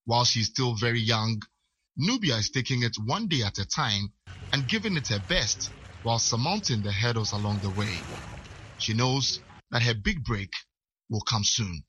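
A man narrating a sports news report in a measured way. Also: mid-range voice, animated, sharp tone, highs cut off, a little hiss or hum.

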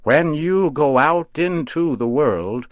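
Man doing a shrill old witch, gleeful, bossy, high loud drawn-out voice, lively swoops. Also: dry recording, studio quality. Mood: gleeful commanding.

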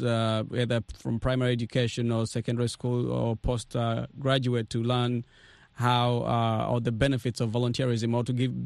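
A man's voice: mid-range voice, creaky, darkish tone, no room sound. Male radio host in a dry studio. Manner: halting, hesitant phrasing with repeated words. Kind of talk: live radio interview. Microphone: studio microphone.

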